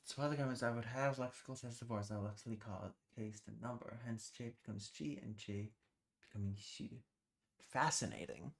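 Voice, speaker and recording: Casual streamer, a man with a lowish voice, mutters through tasks and swears when stumped. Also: fairly quick, vocal fry. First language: British Sign Language. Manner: thinking aloud, muttering while working; easily exasperated, swears under breath. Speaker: livestream host. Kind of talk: casual livestream chat.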